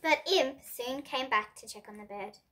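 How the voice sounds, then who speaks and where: A young girl reads a children's story aloud in a high, clear voice. She adds expression to the dialogue, lifting her tone for the different characters. The sound stays bright and sharp throughout. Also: normal speed, a little hiss or hum. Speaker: storybook reader. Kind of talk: reading a picture book aloud.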